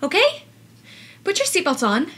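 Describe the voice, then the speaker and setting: A woman playing a flustered, protective aunt in an audio drama, speaking in an urgent, halting, reassuring way. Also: very high voice, wildly swooping pitch, fairly bright tone, heavily acted. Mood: hurried, flustered. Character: flustered, protective aunt hurriedly soothing her nephews.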